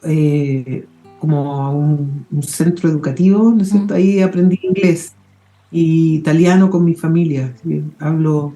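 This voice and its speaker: A woman in her forties, the guest on an interview show, talking in a relaxed, thoughtful way with pauses and restarts. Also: sits low, echoey room, soft background music, poor audio. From England. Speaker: guest mycologist. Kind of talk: casual interview talk.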